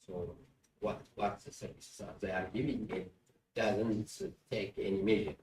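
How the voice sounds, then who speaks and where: A man asks questions from the floor of a seminar in halting, fragmented phrases. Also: lowish voice, steady tone, a little mellow. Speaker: seminar participant asking questions. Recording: roomy, distant from microphone.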